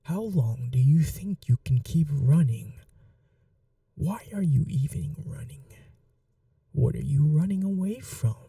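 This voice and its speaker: A woman playing a cruel, possessive vampire mistress in an ASMR roleplay, speaking slowly in breathy half-whispers with menacing tenderness. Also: deep voice, lively intonation, mellow, no room sound, recorded from a distance, exaggerated voice. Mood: possessive, commanding. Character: cruel, possessive vampire mistress, silkily taunting her betrothed captive. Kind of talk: ASMR audio roleplay, dramatic acting.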